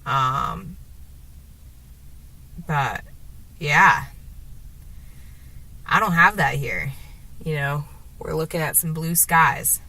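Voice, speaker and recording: A woman with a sore throat and a gravelly, deeper voice, rambling hesitantly to the camera from her car, with many fillers and restarts. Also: very slow, pauses often, sharp tone. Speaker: vlogger. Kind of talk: rambling casual monologue while driving.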